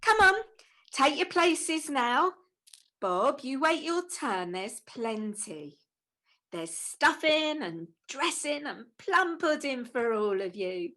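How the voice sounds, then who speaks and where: A woman performs a Victorian working-class mother in a radio drama. Her high voice swings widely and takes its time, emphatic and scolding, yet fond of her brood. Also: animated, slow pace, bright, forceful tone, exaggerated voice. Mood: bustling, fond. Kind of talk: amateur radio-play acting.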